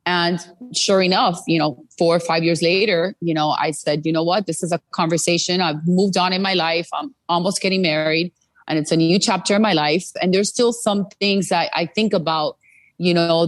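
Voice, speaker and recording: Earnest American woman, low voice, dry studio. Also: no echo. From United States. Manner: earnest, many "you know" fillers. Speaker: guest. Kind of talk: candid interview storytelling.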